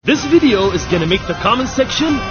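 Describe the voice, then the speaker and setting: A man's voice: high-pitched, sing-song, brisk. A man delivering scripted, punchy travel-video narration as a host. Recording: dull lo-fi sound under clear music.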